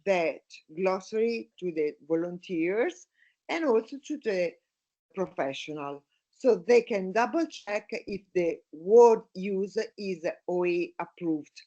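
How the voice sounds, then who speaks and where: A woman from Italy, a native Italian speaker with an Italian accent, speaking English as a guest in a casual interview, halting, with many fillers and restarts. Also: mid-range voice, lively intonation, warm tone, highs cut off.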